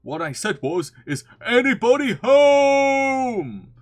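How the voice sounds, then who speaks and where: This is a naive, good-natured storybook bear, put on by a narrator. It bumbles and exclaims, swinging widely in pitch, often well up high. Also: big pitch swings, a little slow, soft background music. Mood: puzzled, calling out.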